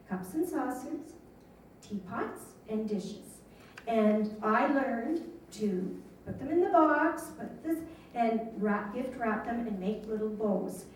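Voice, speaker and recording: A woman's voice: on the high side, a little mellow, slight background noise. A woman in her fifties, telling amused, chatty stories as a local history speaker. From Canada. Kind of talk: reminiscing talk to an audience. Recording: echoey room from a distant mic.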